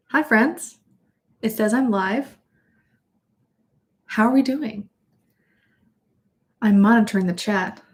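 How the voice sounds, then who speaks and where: A young woman chatting casually on a livestream from home, a bit sniffly from allergies, rambling with fillers and restarts. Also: mid-range voice. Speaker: vlogger hosting a livestream. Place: at home indoors.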